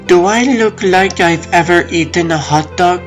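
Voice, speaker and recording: A man's voice: sits high, measured pace. A man voicing a guarded, blunt, sceptical woman in a comic dub. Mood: guarded, unimpressed. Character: deaf woman, guarded, blunt, unimpressed by men. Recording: music behind the voice.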